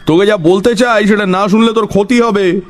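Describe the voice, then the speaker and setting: A man's voice: high voice, steady tone, fairly quick, pitched above the performer's own voice, lightly acted. A man voicing an eccentric, half-crazy village man who quizzes his listener in an emphatic, knowing, teasing way in a dramatised story. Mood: cryptic, insistent.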